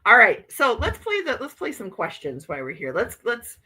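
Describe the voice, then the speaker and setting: A woman's voice: a little high, huge pitch swings, normal speed, sharp tone, slightly rough. A woman in her fifties hosting a casual livestream, chatting and laughing as she reads out viewer comments. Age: 50s. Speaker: livestream host.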